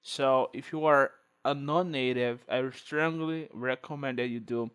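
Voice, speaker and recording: A man's voice: mid-range voice, steady tone, measured pace, fairly bright tone, smooth. A man whose first language is Portuguese, speaking English with a Portuguese accent, hosting a screen-recorded tutorial and thinking aloud with many fillers. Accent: Brazilian Portuguese. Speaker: tech video host.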